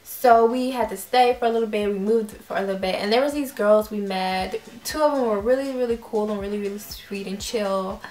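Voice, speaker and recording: A young woman vlogger telling a story casually, acting out her own reactions with lively quoted voices. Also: mid-range voice, a little fast, hardly any pauses. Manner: animated, acts out quoted reactions. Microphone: camera mic. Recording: roomy echo.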